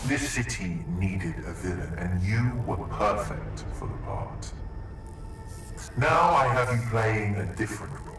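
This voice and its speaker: An actor playing a menacing masked hacker villain in a game, speaking gravely and theatrically. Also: male voice, lowish voice, a little slow, lightly acted. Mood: cold menace. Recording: echoing distant voice under loud music.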